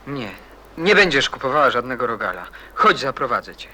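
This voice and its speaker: Guy playing a moody teen boy in a radio play, offhand n teasing, bright voice, lively pitch, some noise. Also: brisk, low-quality recording. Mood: assertive. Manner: nonchalant, laconic, teasing. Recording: noticeable background noise and ambience.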